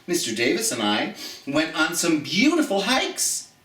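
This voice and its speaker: An American man with a fairly high, very lively voice hosts storytime for children. He speaks theatrically and stretches out his key words. The sound is roomy and echoey. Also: measured pace. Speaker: storytime host. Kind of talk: children's storytime, reading and chatting to viewers. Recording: roomy, echoey, distant from the mic.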